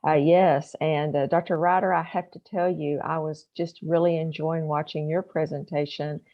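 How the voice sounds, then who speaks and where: A woman in her fifties speaks earnestly and with enthusiasm on a video panel, her voice fairly low. The audio is dull and lo-fi. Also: flat delivery, moderate pace. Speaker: psychiatrist and medical faculty. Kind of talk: video call introduction.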